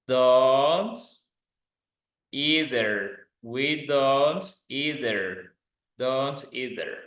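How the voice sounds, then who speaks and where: Slow man teaching English. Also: medium pitch, fairly bright tone. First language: Spanish. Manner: deliberate, instructive, repeats phrases. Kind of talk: grammar lesson, reading examples aloud. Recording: echoey, roomy sound.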